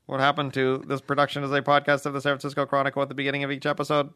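Bright-voiced man co-hosting a podcast. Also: medium pitch, completely flat delivery, racing, clean tone, no room sound, clean studio sound. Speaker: podcast co-host. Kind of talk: casual podcast chat, reading listener mail.

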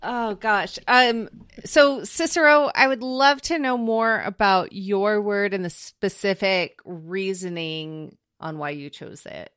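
A woman's voice: mid-range voice, takes it slowly, fairly bright tone, clean tone, no room sound, studio quality. A woman chatting casually as a panelist on a game show.

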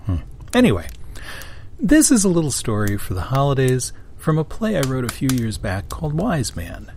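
A man's voice: mid-range voice, huge pitch swings, moderate pace, a little mellow. A man hosting a radio theater show, giving a jokey, playful scripted introduction.

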